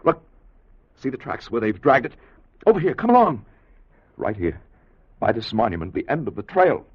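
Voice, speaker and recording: An actor playing a bold, authoritative scientist and time traveller in an intense 1950s radio drama style. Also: male voice, medium pitch, sing-song, normal speed. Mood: frantic, desperate. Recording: muffled, rough vintage radio recording.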